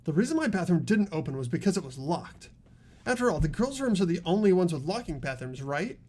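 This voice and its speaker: A performer playing an earnest, argumentative high school student with animated questioning in a fan-voiced game. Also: a little fast. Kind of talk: fan voice acting of a video game script.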